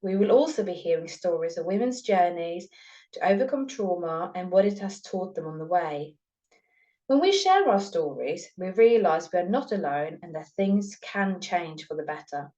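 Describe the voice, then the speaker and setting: A woman's voice: on the low side, animated, normal speed, dark tone, lo-fi sound. Woman podcast host with a Norwegian accent. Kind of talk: scripted intro and casual interview chat.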